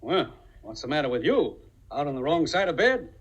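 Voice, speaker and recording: An actor playing a pompous, bossy senior business partner, formal and blustering, in 1950s radio comedy-drama. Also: male voice, a little high, sing-song, moderate pace, breathy edge, muffled recording. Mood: brisk, jovial. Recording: old 1950 radio broadcast.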